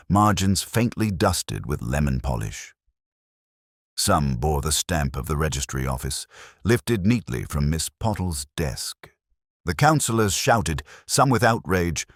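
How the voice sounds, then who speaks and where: A man's voice: very deep voice, no room sound, clean studio sound. A man reading a mystery story as a scripted audiobook narration.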